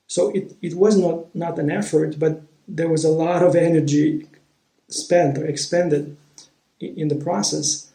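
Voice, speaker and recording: A man shares a personal story in a reflective, unhurried way, often pausing to find the right word. His voice sits a little high but sounds soft and mellow. The room around him rings with echo. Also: fairly even intonation, vocal fry. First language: Romanian. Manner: thoughtful, searching for words. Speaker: vlogger. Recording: roomy echo.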